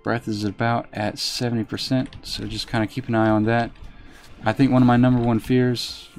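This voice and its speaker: A soft-spoken man giving casual commentary as he plays a game live and answers his chat in a reserved, unhurried way. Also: mid-range voice, little pitch movement, faint music behind. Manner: reserved, unhurried, talks while playing. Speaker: live streamer.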